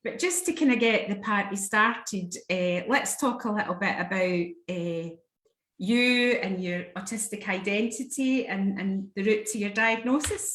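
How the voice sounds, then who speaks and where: A woman's voice: mid-range voice, a little slow, fairly bright tone, echoey room. A woman hosting a live online interview, encouraging her guest with short affirmations. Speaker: host. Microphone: video call.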